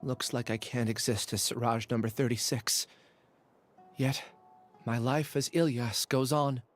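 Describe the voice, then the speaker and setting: A man voicing a young male scholar in a game, talking softly and reflectively, confessing with quiet resolve. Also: mid-range voice, steady tone, unhurried, soft background music, lightly acted.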